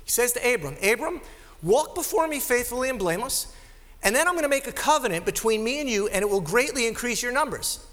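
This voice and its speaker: A pastor in the pulpit, a man with a nasal, high-pitched voice. His delivery is lively and conversational, full of asides to the congregation. The recording carries the long reverb of a big sanctuary. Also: fast talker. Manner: conversational, animated preaching with asides. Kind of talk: sermon. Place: church sanctuary. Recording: live sermon in a reverberant hall.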